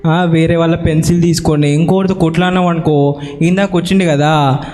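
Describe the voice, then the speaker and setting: High-voiced man teaching children in echoing hall. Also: steady tone, brisk, darkish tone, faint music behind. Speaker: puppet show presenter and children's Bible teacher. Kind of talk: live children's puppet show dialogue, simple teaching. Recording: echoey hall, live event.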